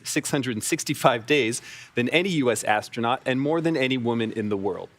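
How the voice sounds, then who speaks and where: A man co-hosting live mission coverage, reading scripted crew biographies in an even delivery. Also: mid-range voice. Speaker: broadcast co-host.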